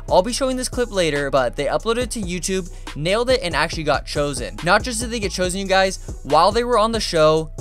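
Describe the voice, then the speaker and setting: A man narrating a countdown list video in an energetic, chatty way, often addressing viewers as "you guys". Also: high-pitched. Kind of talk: fast upbeat countdown narration.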